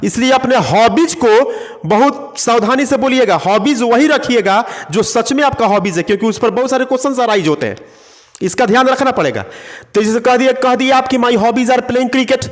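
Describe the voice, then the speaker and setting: A man teaching English phrases in a classroom lesson, slowly repeating them word by word. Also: very high voice, big-room sound.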